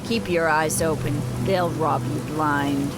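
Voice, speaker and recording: A woman's voice: low-pitched, fairly even intonation, takes it slowly, heavy background noise. A woman voicing a cynical sister soldier with a dry, disapproving manner. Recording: music bed under the voice.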